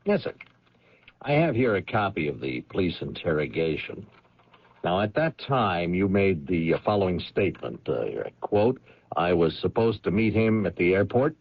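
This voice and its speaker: A man playing a probing, skeptical police lieutenant interrogating people in a radio drama, with pointed questions and deliberate pauses. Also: deep voice, big pitch swings, heavily acted. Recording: dull old radio recording.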